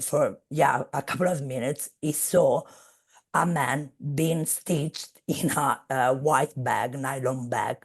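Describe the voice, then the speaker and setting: Woman speaker on a video call, slow and measured, gravelly and husky. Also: extremely low pitch. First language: Italian. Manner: measured, searching for words. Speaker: guest speaker. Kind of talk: academic webinar talk.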